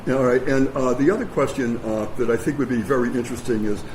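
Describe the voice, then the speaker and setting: A man acts as host and interviewer at a live meeting. He speaks informally and haltingly, repeating phrases as he goes. His pitch is average for a man, and there is slight noise behind him. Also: a little mellow, low-quality recording. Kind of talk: informal spoken introduction and interview. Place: meeting room with an audience.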